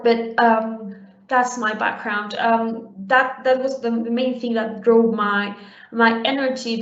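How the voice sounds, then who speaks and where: Young woman from Uruguay, fairly high voice, casual conference speaker with frequent restarts. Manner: conversational, many fillers and restarts. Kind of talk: conference talk. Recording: roomy, dull lo-fi sound.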